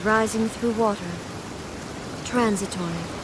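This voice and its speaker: A woman's voice: medium pitch, measured pace, very noisy, close to an everyday voice. Woman as a mysterious, solemn poetic speaker. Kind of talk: scripted game narration.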